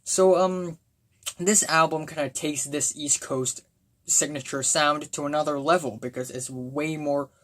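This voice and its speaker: A young man with a voice gone raspy from talking, giving a casual, excitable album review full of fillers and bursts of enthusiasm. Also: a little high, measured pace. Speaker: music reviewer.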